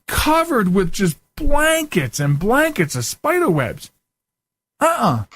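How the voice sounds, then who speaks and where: A man's voice: high voice, wildly swooping pitch, slow pace, fairly bright tone, no room sound. A man hosting a radio show, talking casually and informally in a folksy, conversational way as he gives his commentary.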